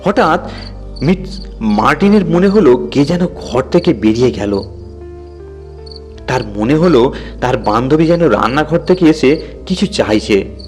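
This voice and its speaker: A man's voice: fairly high pitch, lively intonation, unhurried, long pauses, darkish tone. A man reads a scripted horror story as a dramatic narrator. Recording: music bed behind the voice. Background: music.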